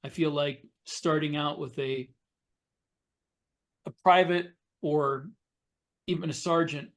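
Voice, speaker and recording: A middle-aged man talks as a podcast co-host in a casual, explanatory way. His voice is slightly high for a man. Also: fairly even intonation, slow pace.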